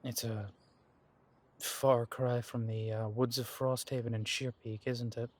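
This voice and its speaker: A young man voicing an easygoing werewolf man in an audio roleplay, talking to the listener in a relaxed, reminiscing way and chuckling often. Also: medium pitch, a little slow, natural voice. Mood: reflective.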